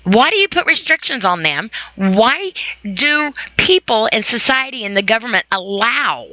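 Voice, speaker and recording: Woman podcast host, forceful bright tone, very dry sound, informal opinionated solo talk with emphatic repetition. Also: on the high side, lively intonation, normal speed, no room sound, poor audio. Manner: emphatic, repeats phrases for stress.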